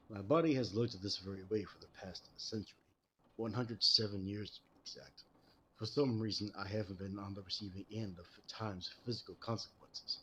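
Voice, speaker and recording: Man playing a deep-voiced, measured American detective. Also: fairly bright tone, lo-fi sound, lightly acted. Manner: measured, formal, expository. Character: ageless superhuman American detective, calm and grave. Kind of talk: scripted roleplay audio drama. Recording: audible background noise.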